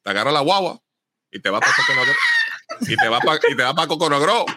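A man's voice: fairly high pitch, huge pitch swings, quick pace, raised voice, very noisy. A man hosting a casual podcast chat, rambling and repeating phrases with enthusiasm. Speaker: podcast host.

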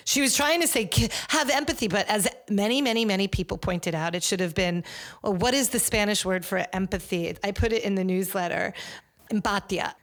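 A woman hosts a podcast, talking in a chatty, outspoken way with sarcastic asides. Her voice sits at a middle pitch. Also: a little fast, fairly bright tone. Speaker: podcast host. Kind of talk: casual chat.

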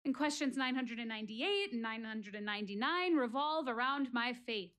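An actress playing an anxious, searching young woman in a theatrical audio-play monologue, with deliberate, questioning dramatic delivery. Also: on the high side, animated, a bit bright. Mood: anxious, earnest.

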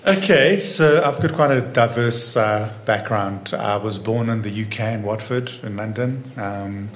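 A man in his fifties, from Israel, tells his story in an easy and unhurried way, full of "you know" and small restarts. His voice sits on the lower side. The room is echoey and has a faint hiss behind him. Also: a bit bright. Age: 50s. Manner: easygoing, many "you know" fillers and restarts. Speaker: interview guest, entrepreneur. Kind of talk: relaxed conversational interview.

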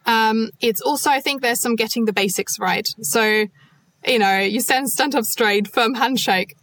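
A woman consultant speaking as a podcast guest, measured and thoughtful, with frequent soft fillers. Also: fairly high pitch, no echo, low-quality recording. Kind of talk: podcast interview.

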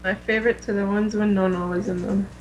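A woman with a mid-pitched voice chats informally as co-host of a fan podcast. Her talk is conversational and unscripted. The recording carries slight noise. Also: flat delivery, fast, low-quality recording. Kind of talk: casual fan podcast chat.

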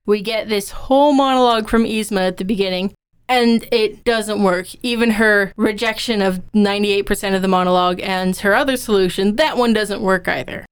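A woman with a strikingly clean, resonant voice at a middling pitch. She co-hosts a podcast as a writing instructor, laying out her opinions in an explanatory, conversational way. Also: normal speed, clear, resonant tone. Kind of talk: conversational craft discussion.

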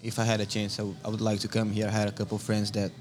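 Brazilian man in his twenties, guest on a podcast, friendly and halting, with faint music behind him. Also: fairly low pitch, monotone, darkish tone. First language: Portuguese. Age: 20s. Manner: friendly, non-native phrasing, halting. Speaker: guest soccer player. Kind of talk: casual interview. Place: brewery.

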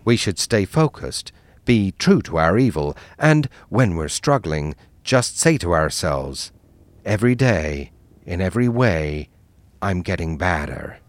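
A man doing a suave deep-voiced cannibal doctor. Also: very animated, dry recording. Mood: measured. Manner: soft, measured and cultured. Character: suave cannibal doctor villain, calm group leader.

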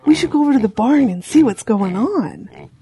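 A woman's voice: fairly high pitch, expressive, moderate pace, dark tone, slightly rough, exaggerated voice. A woman voicing an eager, chatty, curious female farm pig in an audio drama. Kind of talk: children's-style audio drama acting.

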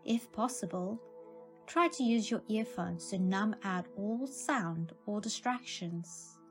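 A woman narrating a scripted guided meditation in a calm, soothing, measured manner. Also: mid-range voice, soft background music.

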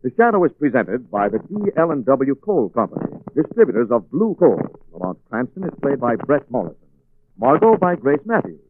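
Animated American radio announcer, tinny old sound. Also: male voice, medium pitch, fairly quick, slight background noise. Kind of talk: 1940s radio announcing, scripted.

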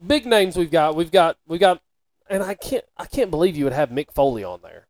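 A man co-hosting a podcast in casual banter, talking in an animated, halting way and often repeating himself. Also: on the high side, no echo. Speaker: podcast co-host.

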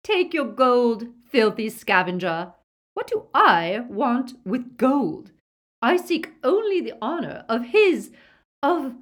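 A woman's voice: on the high side, very animated, slow pace, pitched above the performer's own voice. A woman voicing a haughty, fanatical villainous witch in an audiobook, theatrical, imperious and given to sneering and shrieking. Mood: contemptuous. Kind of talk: audiobook character acting.

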